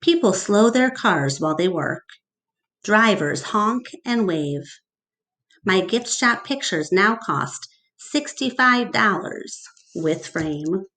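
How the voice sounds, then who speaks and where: Slow, very expressive woman reading aloud. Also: medium pitch. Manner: deliberate, expressive. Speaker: teacher reading aloud to class. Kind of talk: storybook read-aloud narration with questions to children.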